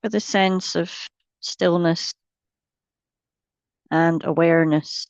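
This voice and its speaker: Slow, low-voiced woman guiding meditation. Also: steady tone, vocal fry, no echo, lo-fi sound. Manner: gentle, unhurried, with long pauses between short phrases. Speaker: meditation teacher. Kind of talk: guided meditation.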